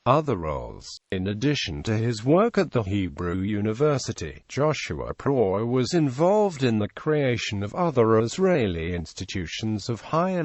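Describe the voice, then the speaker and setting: A man giving a steady, scripted read-aloud of an encyclopedia article, stumbling over a few names. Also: on the low side, no echo. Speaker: narrator.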